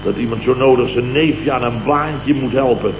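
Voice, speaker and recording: An old, hissy and muffled radio comedy recording with an echoey room. A man acts out a sleep-starved Dutch company boss at a middling pitch. He rambles and repeats himself, blustering and full of self-pity. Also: fairly even intonation, moderate pace, lightly acted. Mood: haughty, defensive. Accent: Dutch, from the Netherlands. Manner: rambling, repetitive, exclamatory grumbling. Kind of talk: late 1960s comic radio play acting. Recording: dull, noisy, roomy old radio recording.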